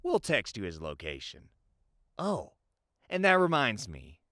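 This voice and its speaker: Wildly animated, taunting crime boss. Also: male voice, mid-range voice, dry recording, studio quality, exaggerated voice. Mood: taunting, commanding. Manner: taunting, toying, drawn-out threats. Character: menacing, mocking male crime boss villain, falsely friendly. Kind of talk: audio drama acting.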